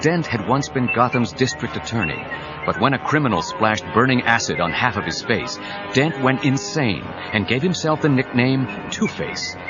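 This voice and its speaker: An actor narrating a superhero audio drama as a suspenseful storyteller. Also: male voice, mid-range voice, lively intonation, normal speed, lightly acted. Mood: dramatic, suspenseful. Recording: dull 1990s cassette, music under voice.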